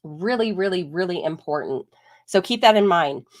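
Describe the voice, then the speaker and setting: A woman's voice: on the low side, lively intonation, normal speed. Congested woman veterinarian chatting live. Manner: chatty, explanatory, frequent "like" and "right?". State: congested from a chest cold. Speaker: holistic veterinarian. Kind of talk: live-streamed educational talk.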